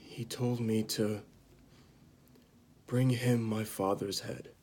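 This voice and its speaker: A man playing a bitter disgraced knight confessing to killing a mad king, in a halting, dramatic amateur monologue that drops into whispers. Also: fairly low pitch, fairly even intonation, darkish tone, a little hiss or hum. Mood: grave, confessional.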